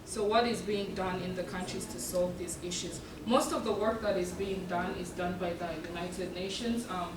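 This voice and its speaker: A woman's voice: on the low side, fairly even intonation, normal speed, hardly any pauses. A young woman who grew up in Uganda and was born in South Sudan, giving an earnest student presentation with frequent ums. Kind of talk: presentation talk. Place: event room. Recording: echoey room from far off, with background noise.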